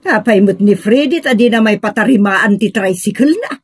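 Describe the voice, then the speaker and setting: A woman's voice: medium pitch, lively intonation, moderate pace, slightly rough, no echo, studio quality. A woman with a Filipino accent playing an outspoken, exasperated grown daughter in an audio drama, with an emphatic, scolding manner. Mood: complaining.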